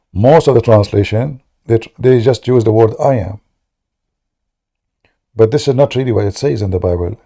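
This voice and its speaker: Gravelly low-voiced man lecturing earnestly. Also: dark tone. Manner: earnest, lecturing, speaks English as a second language. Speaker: host. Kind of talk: casual chat answering a caller.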